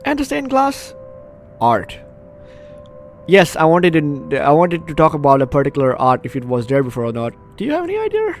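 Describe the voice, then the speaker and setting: Mid-pitched man doing let's play commentary. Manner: stumbles over read lines, self-interrupting asides. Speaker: gaming video host. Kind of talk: let's play commentary, reading game text aloud.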